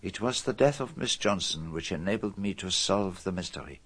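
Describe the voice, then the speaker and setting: Low-voiced, deliberate theatrical detective. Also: male voice, lively intonation, a bit bright. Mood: reflective, dramatic. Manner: deliberate, formal, dramatic emphasis. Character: famous male detective, methodical, self-assured, theatrical in revealing a murderer. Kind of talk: radio drama monologue.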